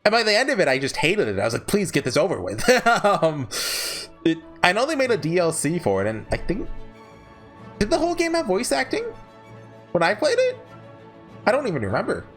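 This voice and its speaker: Animated higher-voiced man rambling through rankings. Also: a little fast, long pauses, soft background music. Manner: rambling, chatty and opinionated. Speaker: YouTuber host ranking visual novels. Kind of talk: casual off-the-cuff review commentary.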